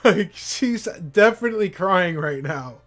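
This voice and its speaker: A man streaming a game, joking and laughing loudly as he plays. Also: high voice, expressive, measured pace, faint music behind. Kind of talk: let's play commentary.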